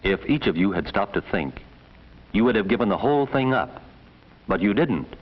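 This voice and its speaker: A man narrating a 1950s educational film as a stern, measured voice reproachfully addressing schoolboys. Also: fairly low pitch, expressive. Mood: grave, reproachful. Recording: dull vintage 1950s film soundtrack.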